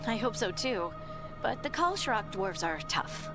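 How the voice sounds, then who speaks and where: An actress playing a steady, thoughtful companion in a fantasy video game. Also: female voice, medium pitch, unhurried, low-quality recording. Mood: pensive. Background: game music.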